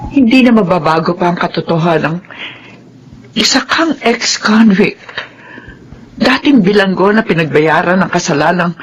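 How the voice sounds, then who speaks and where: An anguished middle-aged mother in a Filipino radio drama, full of regret. Her delivery is melodramatic with lively swings of pitch, and faint noise sits behind the voice. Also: lo-fi sound. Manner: sorrowful, melodramatic.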